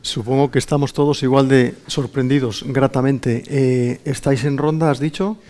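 A middle-aged man with a Castilian Spanish accent moderates a live event. His voice sits at an average pitch, and he questions and comments informally. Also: steady tone, moderate pace, darkish tone. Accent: Spanish from Spain. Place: indoor conference room with small seated audience.

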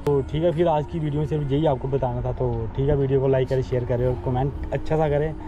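A man's voice: mid-range voice, fairly even intonation, quick pace, highs cut off, background noise. A young man talking casually to his viewers while riding a motorbike through city streets, speaking into a helmet-mounted camera and often repeating himself. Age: young adult. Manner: chatty, repeats himself, addresses viewers as guys. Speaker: motovlogger. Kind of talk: casual vlog chat. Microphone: helmet-mounted action camera.

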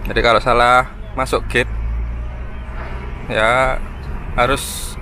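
A man's voice: medium pitch, level tone, slow, sharp tone, a little hiss or hum. A man chattily narrating a road trip from inside his car, guiding viewers along the route. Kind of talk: casual narration while driving.

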